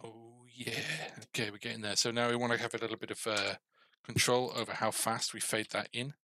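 A man's voice: medium pitch, level tone, brisk, sharp tone. A middle-aged man giving a casual coding tutorial, musing and asking himself questions as he works. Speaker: game developer presenting a coding series. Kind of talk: thinking aloud while coding, casual tutorial.

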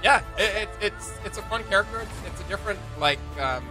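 A man's voice: high voice, expressive, fast, music in the background, low-quality recording. A man giving casual, chatty reaction commentary as a host, often feeling his way through a phrase. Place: small home gaming room, indoors.

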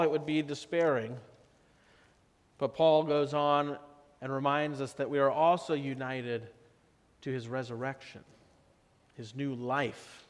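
A man in his thirties preaching a sermon as pastor, slow and measured, often dropping to a softer tone for emphasis. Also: medium pitch. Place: church sanctuary. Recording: long church reverb.

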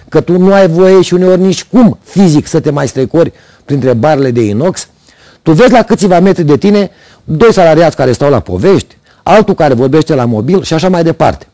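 A man's voice: a little high, warm tone, no room sound. A middle-aged man hosting a TV opinion segment, reading scripted commentary with sardonic irony at a studio desk into a lapel mic. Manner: sardonic, ironic complaints. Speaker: TV commentary host.

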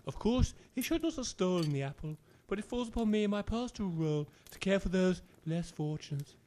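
A kindly, genteel English vicar in a comic audio drama. His voice is high and very animated, fawning with effusive politeness. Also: brisk, a little hiss or hum. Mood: gently earnest. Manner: fawning, over-polite, fluttery.

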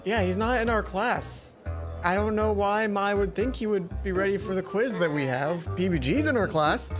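A young man hosts a let's play, reading story text and adding his own comments. He is expressive and playful. Music plays clearly behind his voice. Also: high-pitched, normal speed. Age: young adult. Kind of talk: reading visual novel aloud with commentary.

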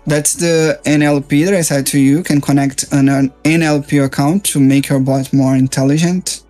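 Higher-pitched male tutorial presenter. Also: fairly even intonation, moderate pace, a little mellow. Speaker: software reviewer and tutorial presenter. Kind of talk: informal screen-share software walkthrough. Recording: music playing behind the voice.

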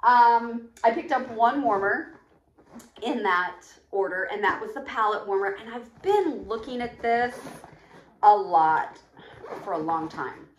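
A woman's voice: a little high, measured pace, muffled recording. Chatty woman in an echoey room. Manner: chatty, rambling, counts items aloud. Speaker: vlogger showing a shopping haul. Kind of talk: casual chat to camera. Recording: echoey home room, faint noise.

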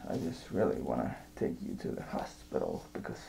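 A man playing a creepy, unhinged evil jester in an ASMR horror roleplay, muttering and rambling haltingly, often dropping to a whisper. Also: extremely low pitch, huge pitch swings, creaky, background noise, exaggerated voice. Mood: nervous, dithering.

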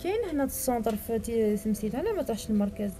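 Fast-talking Algerian woman reporter. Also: fairly high pitch, lively intonation, warm tone, faint music behind. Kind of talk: street interview and report to camera. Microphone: handheld reporter microphone.

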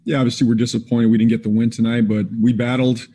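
A man in his forties, a head coach taking postgame questions over a video call. His voice is mellow and dark, and his answers come measured and plain, full of "you know" and "um". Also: medium pitch, little pitch movement. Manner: measured, plain-spoken, with frequent fillers. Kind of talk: postgame press conference. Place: indoors in front of a team backdrop.

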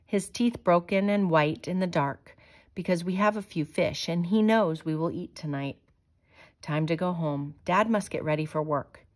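A woman reading a children's picture book aloud in a measured, expressive way. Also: lowish voice. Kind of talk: storybook read-aloud.

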